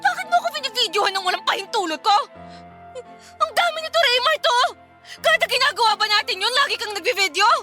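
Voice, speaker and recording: A woman's voice: very high voice, expressive, fast talker, sharp tone, soft background music, exaggerated voice. A woman acting a hurt, outraged young girlfriend in a Filipino radio drama. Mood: outraged, disgusted. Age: young adult. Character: hurt, outraged young woman confronting her deceitful boyfriend.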